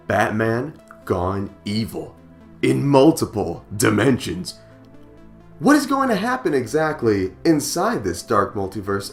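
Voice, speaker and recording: An excitable, emphatic man introducing his channel as host in an enthusiastic scripted style. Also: medium pitch.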